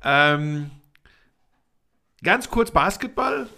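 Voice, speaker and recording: Podcast co-host, man in his fifties, slightly high voice, opinionated and teasing in casual sports talk. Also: sing-song, gravelly, pushed and bright. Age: 50s. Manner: opinionated, teasing, quick asides.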